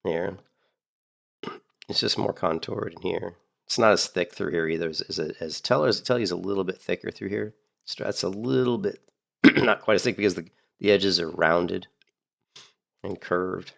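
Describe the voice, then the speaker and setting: A man in a casual, rambling chat about guitars, losing his voice a little and clearing his throat often. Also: low-pitched, steady tone, moderate pace, long pauses. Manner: rambling, repeats himself, frequent throat clearing. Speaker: streamer.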